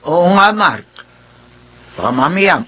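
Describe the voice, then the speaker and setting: A man's voice: high voice, sing-song, slow, sharp tone, husky, heavily acted. A man playing a concerned elderly grandparent in a radio drama. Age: older. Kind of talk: Filipino radio drama acting.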